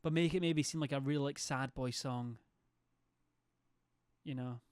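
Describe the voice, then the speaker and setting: A man's voice: mid-range voice, fairly even intonation, measured pace, a little mellow, smooth, no echo, studio quality. A young man, chatting casually and swearing freely as he reads viewer messages on his stream. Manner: loose, sweary, rambling.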